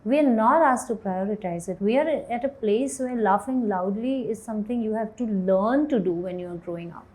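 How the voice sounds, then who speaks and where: A woman in her forties, an actor and writer, speaking candidly in an interview with a low voice, thoughtful pauses and easy laughter. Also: a little mellow, rough recording. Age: 40s. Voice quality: low voice, self-described as bass. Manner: thoughtful, hesitant, laughs easily.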